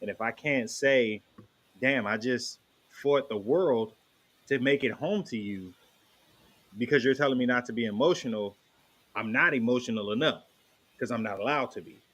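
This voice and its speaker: A man's voice: medium pitch, moderate pace, pauses often, fairly bright tone, breathy edge. A man co-hosting a casual panel talk, making his points emphatically with repeated phrasing. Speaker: podcast co-host.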